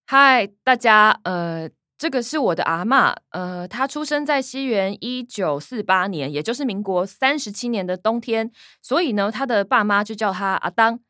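Perky young taiwanese girl vlogger, bright voice, dry close mic, cheery presenting in a radio play. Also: medium pitch, expressive, normal speed, hardly any pauses, no echo. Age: young adult. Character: perky young granddaughter presenting her grandma on video. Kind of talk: radio drama acting.

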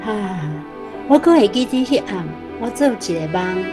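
Actress as a reminiscing Taiwanese mother, animated, music behind. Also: medium pitch, measured pace, natural voice. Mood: wistful, dreamy. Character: mother fondly recalling her poor country childhood. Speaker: radio drama actor. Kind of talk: radio drama storytelling in dialogue.

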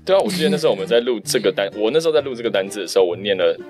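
Casting: male amateur voice actor, deep-pitched and quick, conversational delivery. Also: expressive, over music. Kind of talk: casual chat.

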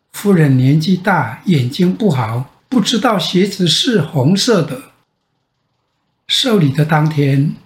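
An older man with a Taiwanese Mandarin accent tells a children's bedtime story in a gentle, expressive way with drawn-out phrase endings. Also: high voice. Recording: echoey room sound.

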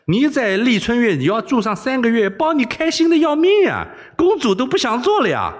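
A man's voice: medium pitch, wildly swooping pitch, a little fast. A man voicing a cheeky, foul-mouthed young rogue posing as a palace eunuch, brash and exclamatory, in audiobook storytelling. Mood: gleeful, scheming.

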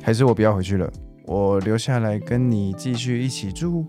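A man in his forties played by a young male actor speaks in a low voice over plucked guitar. He is a teasing, persuasive and earnest suitor in a radio drama. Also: moderate pace, close to an everyday voice.

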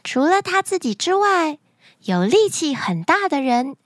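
A woman hosting a children's story show, speaking to young listeners in an animated, expressive storytelling manner. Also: sits high, unhurried, no echo, studio quality. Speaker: children's story podcast host.

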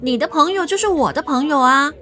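A woman's voice: high voice, a little fast, bright, forceful tone, faint music behind. A woman playing a caring, outspoken young friend in a radio drama. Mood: concerned.

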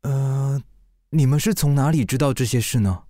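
A male actor playing a gentle, easily flustered widowed father in a radio drama, hesitant and soft-hearted, with a Taiwanese accent. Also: medium pitch, normal speed, no echo, clean studio sound, close to an everyday voice. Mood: wistful, worried. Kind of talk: Mandarin radio drama acting.

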